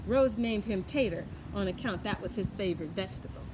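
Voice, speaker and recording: A woman reading a children's tall tale aloud in an expressive, folksy way, adding her own asides. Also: medium pitch, fairly quick, background noise. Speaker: storyteller. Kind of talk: children's story read-aloud.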